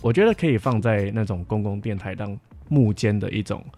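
A man's voice: lowish voice, fairly quick, dark tone. A young man with a Taiwanese Mandarin accent co-hosts a casual podcast, explaining and chatting. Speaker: podcast co-host and camp counselor.

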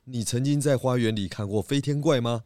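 A man voicing a calm, polite pig detective who asks questions patiently in a children's audio drama. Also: medium pitch, fairly quick, dry recording, clean studio sound, lightly acted. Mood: reassuring.

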